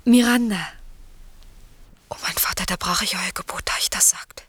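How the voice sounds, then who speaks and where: An actress playing an innocent, sheltered young maiden in classical radio drama, soft and earnest, often sinking to a breathy near-whisper. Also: female voice, mid-range voice, normal speed, no echo. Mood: shy.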